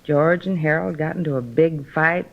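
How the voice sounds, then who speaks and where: An actress playing a worried, guilt-ridden sister in a 1950s radio drama, hesitant and apologetic, on a muffled old recording. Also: female voice, deep voice, moderate pace, gravelly. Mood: regretful.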